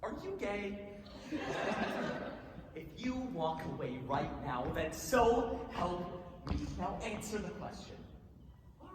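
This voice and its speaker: A woman playing a distraught, accusing wife in a stage melodrama, demanding and confrontational. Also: mid-range voice. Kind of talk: stage melodrama within a comedy. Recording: echoey hall from far off, noisy.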